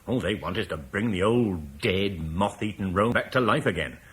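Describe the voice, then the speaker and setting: An actor playing a blunt, forceful military commander from Cornwall who scorns Rome, in a classic radio drama. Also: male voice, sits low, expressive, moderate pace, breathy edge, full character voice. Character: blunt British war leader, scornful of Rome.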